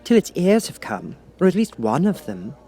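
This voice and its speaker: A man's voice: on the high side, huge pitch swings, normal speed, mellow, pitched above the performer's own voice. A man voicing an earnest, polite little girl in a storybook, prim and plaintive with hesitant turns. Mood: pleased, expectant.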